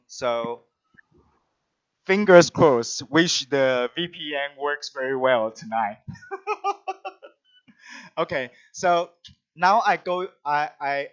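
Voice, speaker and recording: A man hosts an online performance event over a video call. He speaks at a slow, deliberate pace and often restarts his sentences. His voice sounds dull and muffled, with a lo-fi quality. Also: medium pitch, a bit bright, clear, resonant tone. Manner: halting, with frequent restarts and fillers. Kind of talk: live-stream hosting, introducing guests.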